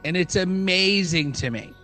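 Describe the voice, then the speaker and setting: A male podcast co-host with a slightly high voice chats casually over faint music. He is emphatic and slangy, repeating his phrases to stress a point. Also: moderate pace, sharp tone. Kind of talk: casual chat.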